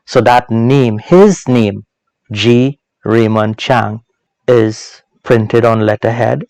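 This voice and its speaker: A man hosting a teaching series, speaking in a reflective, sermon-like but informal way, deliberate and emphatic, with repeated phrases and rhetorical questions. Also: mid-range voice, darkish tone, no room sound. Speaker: host of a Bible proverbs teaching series.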